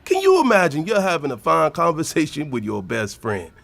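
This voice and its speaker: An older, retired professor with a zealot's preachy streak. His pitch leaps and dips as he rambles on in earnest, emphatic indignation. It is an audio drama performance, recorded close and dry. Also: wildly swooping pitch, fairly quick, vocal fry, a bit bright, no echo, full character voice. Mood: earnest, rambling.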